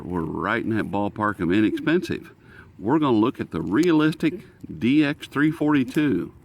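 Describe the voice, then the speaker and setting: An American man with a low-pitched voice talks through a product review in a casual, unscripted way. He is halting, often thinking aloud as he works through the details. He is speaking outdoors. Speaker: host of a radio review video.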